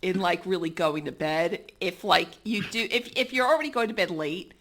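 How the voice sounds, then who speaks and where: A woman's voice: on the low side, normal speed, a bit bright. A woman hosting a podcast interview in a breezy, teasing, chatty way. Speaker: podcast host. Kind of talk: casual interview chat.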